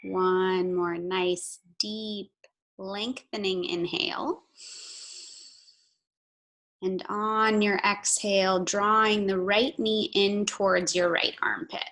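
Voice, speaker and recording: A woman leads a guided yoga class as its instructor. She speaks very slowly in a mid-pitched voice with a noticeable creak. Her cueing is measured and soothing, marked by audible breathing. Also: steady tone, fairly bright tone, low-quality recording.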